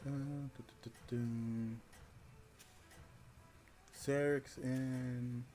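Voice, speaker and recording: A man's voice: medium pitch, flat delivery, very slow, warm tone, soft background music. A young man from Georgia streaming a card game, chatting casually and thinking aloud with lots of fillers. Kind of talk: casual livestream commentary.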